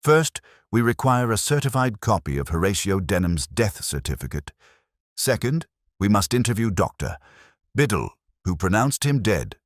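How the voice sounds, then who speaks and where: A man voicing a coolly analytical, commanding Victorian detective in an audiobook, speaking with precise, deliberate phrasing. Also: on the low side, sing-song, no echo, clean studio sound, pitched above the performer's own voice. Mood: incisive.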